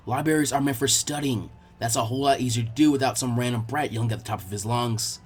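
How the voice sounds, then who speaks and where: A man's voice: medium pitch, fast, slightly rough, voice pushed down, lightly acted. A man voicing a stern, teasing adult male librarian in a fan fiction reading, dry and exasperated.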